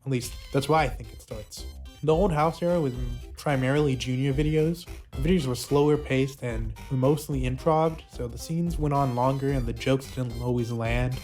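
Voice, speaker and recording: A man's voice: medium pitch, normal speed, a little mellow. Man narrating casual commentary over music. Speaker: video essayist reviewing a puppet show's history. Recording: music playing behind the voice.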